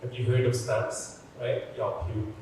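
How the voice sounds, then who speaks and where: A man from the Philippines, an art collector, telling students how he started collecting. He talks haltingly, with restarts and "uh" fillers. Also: medium pitch, steady tone, darkish tone, recorded from a distance, slight background noise. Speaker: art collector, guest speaker. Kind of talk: personal talk to students. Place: museum gallery hall. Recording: echoey hall, speaker off-mic.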